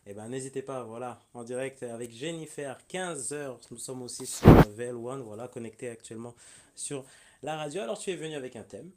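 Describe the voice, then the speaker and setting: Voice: medium pitch, brisk, noisy background. A live radio host interviewing a guest, giving brief affirmations and light laughter. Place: radio studio.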